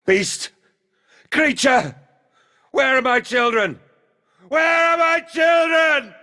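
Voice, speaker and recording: Slow, anguished, guilt-ridden father. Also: extremely high pitch, lively intonation, sharp tone, raised voice, full character voice. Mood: desperate anguish. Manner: dramatic, despairing, vehement. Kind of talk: theatrical video game acting.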